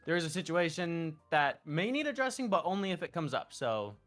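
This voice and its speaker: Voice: medium pitch, big pitch swings, a little fast, a bit bright, faint music behind. A streamer chatting casually and informally with swearing while playing a game and reading its text aloud. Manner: profane, informal. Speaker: host, streamer.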